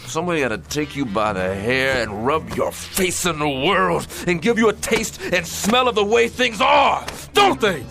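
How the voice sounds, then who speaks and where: An actor playing a battered, middle-aged washed-up boxer in an emotional radio drama, with rough, halting, anguished street talk. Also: male voice, fairly high pitch, animated, music in the background. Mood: bitter, anguished. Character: washed-up, battered boxer, weary, bitter yet tender.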